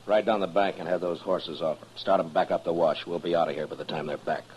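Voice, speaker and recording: A man's voice: sits low, steady tone, brisk, darkish tone, lightly acted. An actor playing a stern, suspicious frontier lawman in a radio western, terse, dry and commanding. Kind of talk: 1950s radio western acting. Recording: noisy old-time radio recording.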